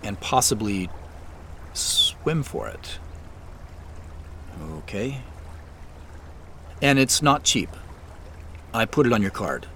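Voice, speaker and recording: Wry, lively-pitched man narrating. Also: slow, long pauses, a little hiss or hum, exaggerated voice. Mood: muttered, dry. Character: wry, beleaguered office man on the run. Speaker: actor narrating as main character. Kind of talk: first-person audio drama narration.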